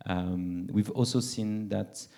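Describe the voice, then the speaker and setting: Low mellow-voiced male moderator. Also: steady tone, takes it slowly, vocal fry. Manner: hesitant, many fillers and restarts. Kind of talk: conference panel moderation. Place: large conference hall. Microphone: handheld mic.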